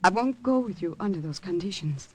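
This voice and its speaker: A woman playing an anxious, principled sweetheart with a husky voice in a 1940s radio melodrama. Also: lowish voice, expressive, brisk. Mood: earnest pleading. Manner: pleading, emotional.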